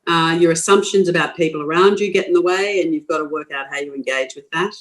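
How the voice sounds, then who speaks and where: A woman's voice: low voice, quick pace, poor audio. An older Australian woman speaks her mind in a podcast interview, blunt and forthright. She laughs easily and often restarts her sentences as she thinks aloud. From Queensland, Australia. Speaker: guest.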